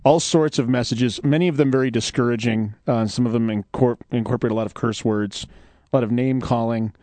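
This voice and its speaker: A man's voice: medium pitch, steady tone, brisk, darkish tone, no echo. A man co-hosting a poetry radio show with playful, self-mocking banter in a studio.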